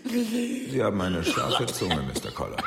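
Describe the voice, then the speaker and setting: An actor playing a corrupt, smooth-talking policeman with an unctuous, mock-polite manner in an audio drama. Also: on the low side, wildly swooping pitch, measured pace, over music, heavily acted. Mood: menacing. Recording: echoey hall, long reverb.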